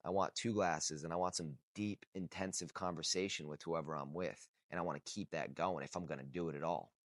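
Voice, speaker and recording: A man around thirty with a deep, low voice talks straight to camera in a blunt, casual way. He swears freely and circles back on his points for emphasis. The sound is dry and close, with no room around it. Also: steady tone, fairly quick, dry recording. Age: 30s. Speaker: vlogger. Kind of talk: casual unscripted talk to camera.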